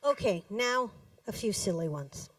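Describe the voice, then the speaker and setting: A woman reading her own poems live in a cafe, with deliberate, dramatic phrasing, pauses and emphatic stresses. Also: medium pitch, lively intonation. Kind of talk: live poetry reading to an audience. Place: indoors in a cafe. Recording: roomy echo, distant microphone.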